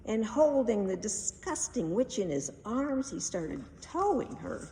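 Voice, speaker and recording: A woman's voice: on the high side, big pitch swings, measured pace, warm tone. A woman reading a children's storybook aloud with dramatic, emphatic delivery. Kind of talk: expressive children's storybook narration. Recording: distant mic, echoey hall, faint noise.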